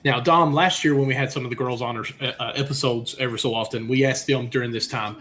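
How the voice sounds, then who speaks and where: A man's voice: medium pitch, steady tone, quick pace, a bit bright, a little hiss or hum. A man hosting a casual sports podcast, asking long, rambling questions full of fillers. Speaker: podcast host.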